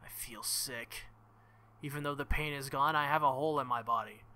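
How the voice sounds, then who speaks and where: Bright, forceful man reading a game aloud. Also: mid-range voice, moderate pace. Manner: jokey, exclamatory asides. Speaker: let's-play host. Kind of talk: visual novel read-aloud with joking asides.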